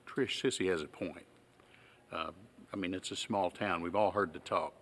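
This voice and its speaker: A man acting a low-key, patient small-town sheriff with a Southern accent and a folksy, hesitant manner. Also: steady tone, moderate pace, fairly bright tone, a little hiss or hum. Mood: firm, patient. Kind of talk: low-budget film dialogue.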